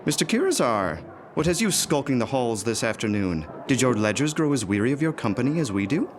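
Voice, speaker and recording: A man's voice: medium pitch, big pitch swings, a little fast, slight background noise, lightly acted. A man playing a friendly, cheeky young house servant in a gothic audio drama, chatty and teasing. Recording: music playing behind the voice.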